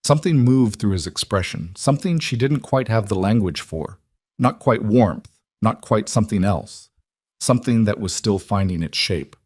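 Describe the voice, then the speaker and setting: A man's voice: lowish voice, animated, normal speed, a little mellow, slightly rough, no room sound. A man reading a scripted story as its narrator.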